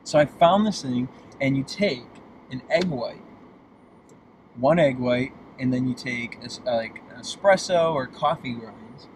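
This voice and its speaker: A man in his late twenties from Boston chatting casually and candidly as a guest in a car, with emphatic, expressive delivery. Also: mid-range voice, unhurried. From Boston, United States. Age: late 20s. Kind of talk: casual chat. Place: inside a moving car. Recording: clear vehicle road noise. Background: car driving noise.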